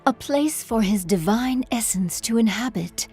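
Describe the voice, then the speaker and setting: Devout priestess sculptor, slow measured delivery, husky voice, solemn and haunted, video game dialogue, music behind. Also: female voice, fairly high pitch, animated, a little mellow, full character voice. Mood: solemn, reverent. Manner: slow, measured, reverent speech. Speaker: religious character in a video game.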